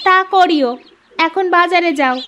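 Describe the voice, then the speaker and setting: A woman voicing a young farmer's wife in a cartoon, expressive and coaxing. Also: extremely high pitch, measured pace, a little hiss or hum, exaggerated voice. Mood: eager, coaxing. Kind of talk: cartoon voice acting.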